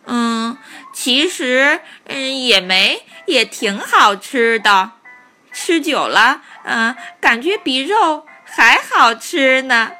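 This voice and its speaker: A woman voicing a hapless, soft-hearted male fox in a children's story, hesitant and given to muttering to himself. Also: sits high, big pitch swings, extremely slow, exaggerated voice. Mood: musing, bemused. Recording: soft music behind the voice.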